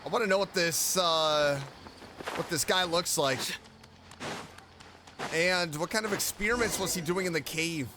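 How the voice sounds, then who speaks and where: A man's voice: a little high, measured pace, long pauses, noisy background. A man giving casual commentary as he reacts to a video game he is playing. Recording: game music behind the voice.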